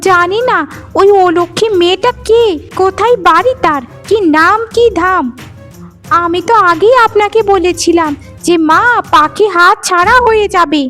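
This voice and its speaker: A woman's voice: very high-pitched, moderate pace, soft background music. A woman voicing a scheming, disdainful young sister-in-law in a cartoon, with a nagging manner. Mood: nagging, scornful. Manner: nagging, sharp questioning. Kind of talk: cartoon drama acting.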